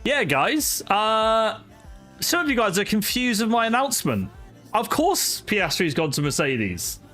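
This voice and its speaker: A man's voice: sits high, sing-song, measured pace, pushed and bright. Chatty young man streaming, music behind. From not from Wales. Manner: chatty, greets chat by name, frequent fillers. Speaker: sim racing streamer. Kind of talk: casual live-stream chat. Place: home streaming setup. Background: music playing.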